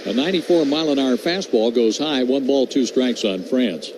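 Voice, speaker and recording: A man doing measured, descriptive live play-by-play of a baseball game as a radio announcer. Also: medium pitch. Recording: clear background noise at ballpark.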